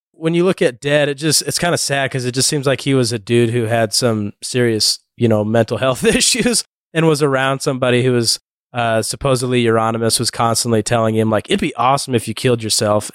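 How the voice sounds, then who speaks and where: A man with a smooth, resonant voice at a middle pitch talks with a conversational looseness, and the sound is close and dry. He asks guests questions and sums up their points. He keeps the discussion moving. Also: fairly quick, no room sound. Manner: conversational, leads with questions, recaps others' points. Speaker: podcast host. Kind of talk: casual discussion.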